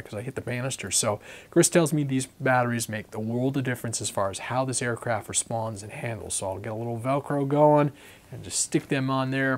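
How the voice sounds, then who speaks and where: A middle-aged man hosting a casual hobby update vlog from his workbench, rambling with many fillers while getting over a cold. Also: mid-range voice. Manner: rambling, lots of fillers and self-corrections. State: recovering from a cold, voice croaky at times. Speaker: RC hobby vlogger.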